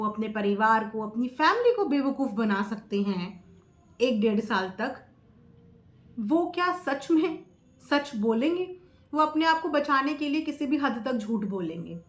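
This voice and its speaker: Woman, high-pitched, emphatic opinionated commentary, rhetorical questions, roomy echo. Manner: emphatic, repeats phrases, asks rhetorical questions. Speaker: reaction video creator. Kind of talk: opinionated commentary to viewers in casual Hindi-English mix. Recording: echoey, roomy sound.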